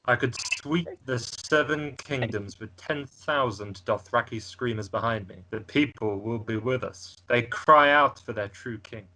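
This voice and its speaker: A man's voice: mid-range voice, measured pace, hardly any pauses, pushed and bright, slight background noise, poor audio. A man playing an ambitious, scornful exiled prince in an amateur script table read, speaking with arrogant disdain. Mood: ambitious, proud.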